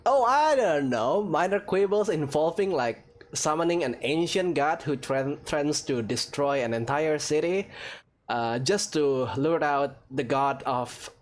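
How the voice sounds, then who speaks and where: A man's voice: fairly high pitch, lively intonation, a little slow. A young man giving casual let's play commentary, hesitant and playfully sarcastic. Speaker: gaming YouTuber. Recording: game music behind the voice.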